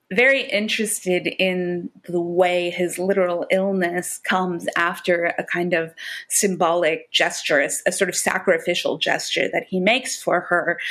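A woman's voice: fairly low pitch, a bit bright. A woman writer and teacher talking thoughtfully and at length as an interview guest, articulate, with light laughter in her talk. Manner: reflective, articulate, long-winded, laughs lightly as she talks.

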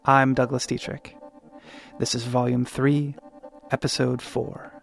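Guy doing a podcast intro, kinda creaky voice, music underneath. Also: mid-range voice, little pitch movement, a little slow. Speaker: podcast host.

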